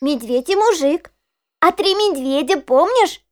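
A woman's voice: extremely high pitch, lively intonation, measured pace, darkish tone. A woman playing a curious, eager little granddaughter in a children's audio play, talking in a childlike, inquisitive way.

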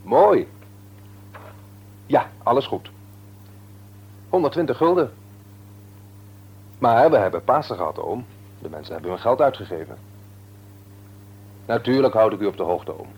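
An actor playing an easygoing, dutiful married shopkeeper in a radio serial, talking naturally with a mildly wry manner. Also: male voice, medium pitch, expressive, measured pace, pauses often, breathy edge, lo-fi sound. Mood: polite, dutiful. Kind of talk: Dutch radio serial drama acting.

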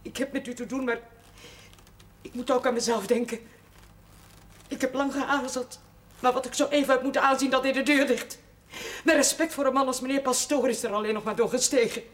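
An actress playing a prim, old-fashioned, disapproving middle-aged housekeeper, speaking formally and stiffly in a television drama. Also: female voice, high-pitched, fairly even intonation, brisk, long pauses, close to an everyday voice. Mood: prim, aggrieved. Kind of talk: Dutch television drama acting.

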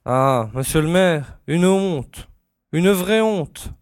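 An actor playing a dutiful, easily flustered village constable, deferential and plaintive. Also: male voice, a little high, lively intonation, slow pace, dry recording, clean studio sound. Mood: distraught. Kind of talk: French audio drama acting.

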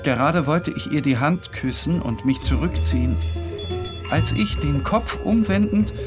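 An actor playing a tormented, obsessive man, narrating his own story in a deliberate, brooding and intense literary style. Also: fairly high pitch, expressive. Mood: brooding, bitter. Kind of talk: dramatic first-person literary narration. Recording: studio narration over clear music.

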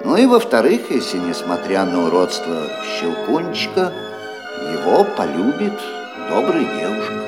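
A man's voice: low-pitched, wildly swooping pitch, normal speed, over music. Old godfather clockmaker, fairy-tale radio play, widely swooping intonation, theatrical, teasing, kindly, long hall reverb. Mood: dramatic storytelling. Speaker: storyteller godfather in a musical audio play.

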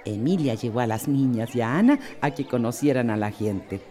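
An actress playing a fussing, caring, grumbling companion in a radio soap opera, chiding and exclamatory with a Costa Rican accent. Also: female voice, rumbling low, lively intonation, normal speed, dark tone, a faint crowd. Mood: reassuring.

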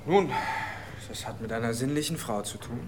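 An actor playing an oily, insinuating 1920s newspaper reporter in a radio drama, smooth-talking and suggestive. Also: male voice, mid-range voice, moderate pace, darkish tone, slight background noise, natural voice.

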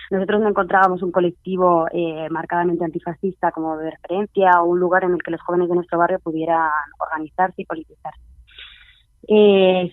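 A man's voice: high voice, dry recording. Young man on a tinny phone line. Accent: Spain Spanish. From Alcorcón, Spain. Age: young adult. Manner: earnest, with frequent fillers and restarts. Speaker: guest, member of a youth collective. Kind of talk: casual radio chat.

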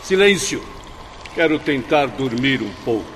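A man playing a haughty, pompous lost gentleman in a radio drama. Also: mid-range voice, expressive, unhurried, big-room sound, noisy background, natural voice. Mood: scornful. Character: haughty, pompous gentleman suicide soul in tattered finery.

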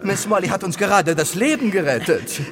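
An actor playing an excitable, devoted manservant with an eager, deferential and cheeky manner in a musical radio drama. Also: male voice, high-pitched, brisk, big-room sound, noisy background. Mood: charmed.

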